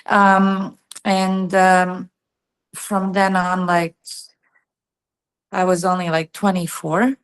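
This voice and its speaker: A woman's voice: on the low side, little pitch movement, slow pace, long pauses, smooth. A woman in her thirties from Bosnia and Herzegovina, a guest telling her story in a chatty interview, full of "like" and "you know". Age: 30s. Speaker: guest, tennis player and coach. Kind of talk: casual interview chat.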